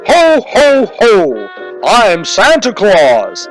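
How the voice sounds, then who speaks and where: A man's voice: high-pitched, huge pitch swings, extremely slow, faint music behind, pitched above the performer's own voice, exaggerated voice. A man voicing a jolly but easily indignant old Santa Claus in a comic detective parody. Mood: jolly, booming.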